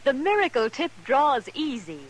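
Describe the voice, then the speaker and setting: A woman's voice: high-pitched, big pitch swings, moderate pace. A woman delivering a commercial in 1950s radio advertising style. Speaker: commercial announcer and jingle voice. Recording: noisy vintage radio recording.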